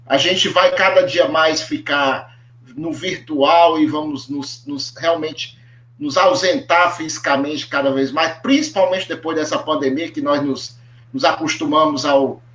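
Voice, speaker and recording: A man's voice: on the high side, unhurried, fairly bright tone. A man with a Brazilian accent moderating an online panel, framing questions in a hesitant way with repeated words. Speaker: host. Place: echoey room, on a video call. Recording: echoey room over online call.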